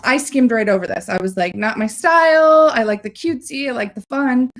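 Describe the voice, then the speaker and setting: A woman hosting a casual crafting livestream, chatty and gushing with enthusiasm, with a low-pitched voice. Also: animated, a little fast. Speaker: host.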